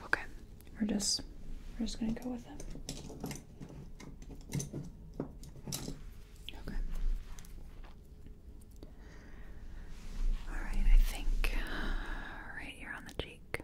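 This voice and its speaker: A woman's voice: on the high side, measured pace. Woman playing a whispering, nervous makeup artist. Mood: hurried, unsure. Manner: hesitant, muttering to herself, thinking aloud. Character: nervous female makeup artist competing in a contest. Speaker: ASMR performer. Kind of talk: soft-spoken whispered ASMR roleplay.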